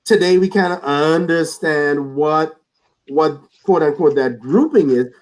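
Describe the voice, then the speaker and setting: A man's voice: a little high, measured pace. A man speaking as a guest in a reflective conversation over a video call, earnest, often repeating words as he starts a thought.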